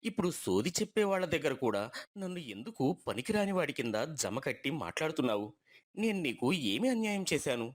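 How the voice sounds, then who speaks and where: A man's voice: a little high, sing-song, fast. A man voicing a poor, patient village husband who pleads and reasons with hurt reproach in a moral story. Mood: hurt, reproachful.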